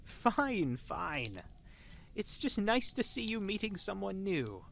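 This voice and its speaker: A woman playing an excitable, chatty, teasing tavern friend in a fantasy audio drama, with a dramatic, gushing manner. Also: mid-range voice, takes it slowly, creaky, a little hiss or hum, exaggerated voice. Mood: mildly sulky. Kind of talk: fantasy visual novel audio drama acting.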